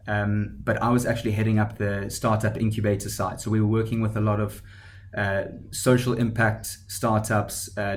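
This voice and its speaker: A South African man in his thirties tells his career story as a podcast guest. His voice sits low, and he speaks in a level, monotone way, pausing often with ums and starting sentences over. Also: a little hiss or hum. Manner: hesitant, many ums and restarts. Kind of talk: conversational podcast interview.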